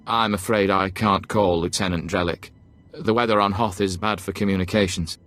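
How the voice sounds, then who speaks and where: An actor playing a cautious, deferential imperial officer in a video game, formal and obsequious. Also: male voice, low-pitched, steady tone, normal speed, fairly bright tone, faint music behind. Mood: deferential, wary. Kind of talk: game voice acting.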